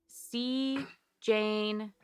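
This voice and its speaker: A woman chatting casually as a player on a tabletop game podcast, animated, slangy and jokey. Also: fairly high pitch, extremely slow, smooth. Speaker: player on an actual-play podcast.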